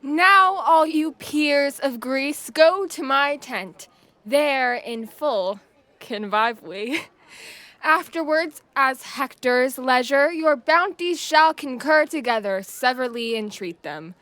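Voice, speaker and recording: A young woman plays a commanding Greek general in a Shakespeare audio drama. The voice is high and slow, proclaiming formally with gracious authority. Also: bright, forceful tone, no echo, full character voice. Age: young adult.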